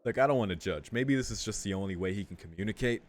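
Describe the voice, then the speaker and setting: Casting: male gaming host, lowish voice, casual and jokey, slang-heavy, reads dialogue boxes aloud. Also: fast. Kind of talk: casual playthrough commentary, reading game text aloud. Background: game music.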